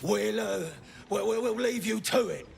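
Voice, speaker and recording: A man's voice: high voice, unhurried, gravelly, noisy background, full character voice. A man playing a cowed, frightened male underling who stammers deferentially in a fantasy video game. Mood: cowed, panicky. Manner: stammering, grovelling.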